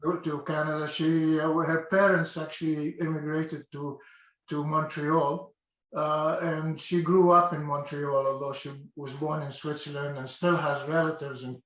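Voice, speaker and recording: Older guy from egypt, kinda high voice, lots of uh and restarts, echoey room. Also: level tone, moderate pace, fairly bright tone. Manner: hesitant, many fillers and restarts. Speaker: interview guest. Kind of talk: casual interview. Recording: echoey dull-sounding room.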